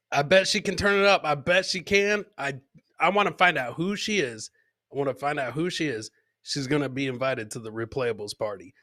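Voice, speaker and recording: Male comedy podcast host: bright, punchy voice, dry sound, casual off-the-cuff commentary with repeated phrases. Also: fairly high pitch, no room sound. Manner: loose, repeats his phrases, interjects. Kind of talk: casual live commentary on viral clips.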